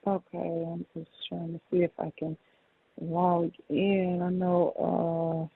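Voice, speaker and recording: Over a dull, dry phone recording, a woman speaks with a creaky edge. She is a customer, hesitant and somewhat distracted, chatting casually. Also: lowish voice, level tone, takes it slowly, no echo. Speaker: insurance customer on a call. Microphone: phone line. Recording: dull, band-limited phone call recording.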